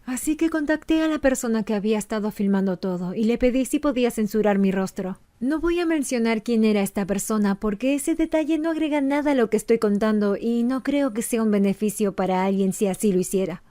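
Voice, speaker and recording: A woman's voice: a little high, animated, brisk, hardly any pauses, dark tone, close to an everyday voice. A woman voicing a young female animator who earnestly narrates a painful personal confession for a fan dub. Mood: earnest, sorrowful.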